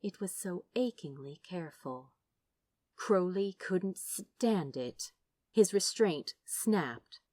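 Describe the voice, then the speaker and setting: A woman narrating fan fiction as a dramatic audiobook, with expressive, theatrical delivery and dramatic pauses. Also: on the low side, dry recording, studio quality. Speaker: podfic narrator.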